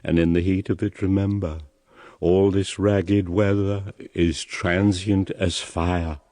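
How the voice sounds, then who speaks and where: An old royal father in a solemn radio drama, stern and worn out. The voice is deep and slow, declaiming gravely in a dry, close acoustic. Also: flat delivery, warm tone, no echo. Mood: weary, intense.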